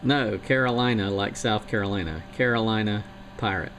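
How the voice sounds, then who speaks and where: A man's voice: on the low side, normal speed. A man chatting casually and rambling a bit as he talks on a CB radio. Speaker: CB radio operator. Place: home radio station. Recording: noisy radio transmission.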